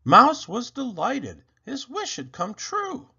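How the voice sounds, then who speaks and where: Slow, swooping male storybook reader. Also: very high voice, huge pitch swings, creaky, sharp tone, husky, highs cut off. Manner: theatrical, deliberate phrasing. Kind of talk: expressive rhyming picture-book read-aloud.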